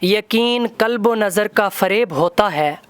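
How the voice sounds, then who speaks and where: Over a very loud crowd, a young man recites verse in a high voice. He takes his couplets slowly and declaims them, returning to lines for emphasis, as a poet does at a live recital. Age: young adult. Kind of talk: live Urdu poetry recital, ghazal couplets. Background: loud audience at a poetry gathering.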